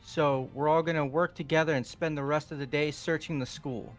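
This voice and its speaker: Man acting a high-voiced, laid-back young guy. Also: fairly even intonation, brisk, soft background music, lightly acted. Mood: casual, upbeat. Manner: casual, slangy. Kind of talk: fan-voiced visual novel acting.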